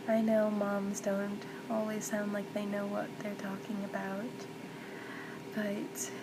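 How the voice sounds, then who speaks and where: A woman playing a gentle, comforting mother in a soft-spoken ASMR roleplay, soothing and tender, often slipping toward a whisper. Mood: earnest, encouraging. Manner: soothing, tender, reassuring, hushed with whispery stretches. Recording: loud background noise with low rumble.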